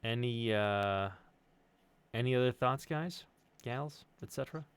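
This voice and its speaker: A man's voice: medium pitch, measured pace, smooth. A middle-aged man hosting a casual livestream, chatting in a rambling, hesitant and joking way. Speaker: stream host and audio drama sound designer. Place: online group stream.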